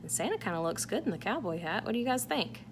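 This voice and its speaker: A woman's voice: medium pitch, sing-song, quick pace. A woman reading a picture book aloud to children, with casual asides and questions to her listeners. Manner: engaging, asks the listeners questions. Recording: clear background noise.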